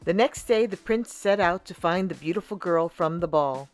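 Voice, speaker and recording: A woman reading a storybook aloud for children in an expressive way, with dramatic pauses and emphasis. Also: on the low side, faint music behind. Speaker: children's storybook reader and channel host.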